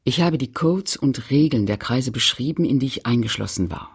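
A man's husky voice with a gravelly creak the narrator of a memoir in a radio play. He recites in a measured, grave and confessional manner, sober throughout. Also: medium pitch, animated. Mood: sober recollection. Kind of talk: literary radio play narration, switching between languages.

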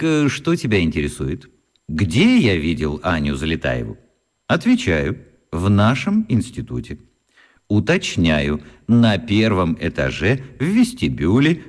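Deep-voiced, genial, animated dad. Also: male voice. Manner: chatty, mock-formal, hesitating mid-thought. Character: genial talkative middle-aged father, teasing, absent-minded. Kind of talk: children's radio drama acting.

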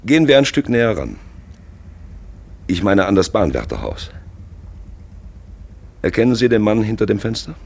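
An actor playing a probing, brooding narrator who guides listeners round an accident scene in a German radio play, confiding and insistent, with a gravelly, husky voice. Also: male voice, on the low side, very animated, normal speed, pauses often, darkish tone, exaggerated voice. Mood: brooding, intent. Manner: insistent, confiding, rhetorical asides.

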